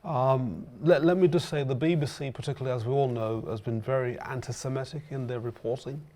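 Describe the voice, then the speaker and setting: A man from Birmingham speaks with a Birmingham accent as a guest on a talk show. He talks in an impassioned way, stumbling over restarts and hesitations. His voice sits at a middling pitch. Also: a little fast, warm tone. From England. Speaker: guest commentator. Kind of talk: earnest talk-show discussion.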